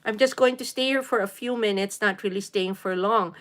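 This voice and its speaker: A woman from the Philippines in her forties hosts a relaxed live stream from home. She chats easily with viewers and greets them by name. Her talk is loose and unscripted, full of small fillers. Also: medium pitch, fairly quick. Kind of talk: casual live stream chat reading viewer comments. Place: home in New York City.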